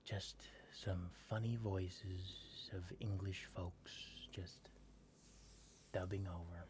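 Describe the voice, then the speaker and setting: A man with a very deep voice talks almost entirely in a soft, breathy whisper. He takes his time and draws his words out at a slow pace. He chats with his viewers late at night, and a faint room hum sits in the background. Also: fairly even intonation. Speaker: streamer. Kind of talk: whispered ASMR chat with live viewers. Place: home at night.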